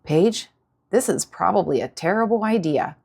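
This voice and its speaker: A woman's voice: on the low side, animated, moderate pace, creaky, a little mellow, slightly rough, full character voice. A woman voicing a small, anxious, indignant male sidekick in an audiobook, grumbling and exclaiming. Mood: alarmed.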